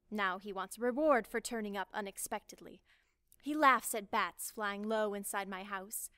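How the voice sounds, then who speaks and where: A woman reading scripted poetry aloud, with a deliberate storytelling delivery. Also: a little high, a bit bright, clean tone, no room sound, studio quality. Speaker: poetry reader.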